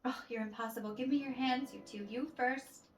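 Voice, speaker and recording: A woman voicing a sassy, sharp-tongued lady's maid who scolds theatrically, as in a storybook play. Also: on the high side, fairly even intonation, fairly quick, slight background noise, close to an everyday voice. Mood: bossy, exasperated. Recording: roomy echo with music behind.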